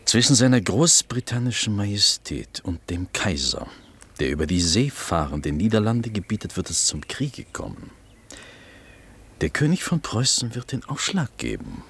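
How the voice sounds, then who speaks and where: An actor playing a scheming, corrupt court minister in a radio play, with a sly, insinuating manner. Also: male voice, lowish voice, very animated, normal speed, creaky, fairly bright tone, slightly rough, full character voice.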